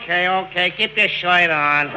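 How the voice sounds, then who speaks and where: A man putting on a pompous butler voice with a slow, affected drawl in a 1940s radio comedy. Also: sits high, close to an everyday voice. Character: pompous, put-on male butler. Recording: noisy 1940s radio broadcast.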